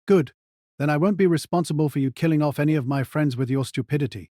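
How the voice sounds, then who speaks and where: An actor playing a gruff, sarcastic, fed-up older mentor man in an audio drama, curt and scornful. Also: mid-range voice, quick pace, dark tone, no echo, clean studio sound, lightly acted.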